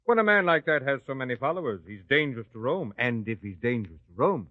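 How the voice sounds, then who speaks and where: An actor playing a scheming priest who persuades a governor slyly, in an old-time radio drama. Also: male voice, medium pitch, sing-song, fast, dry recording, natural voice. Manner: sly, persuasive. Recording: tinny phone-like lo-fi recording.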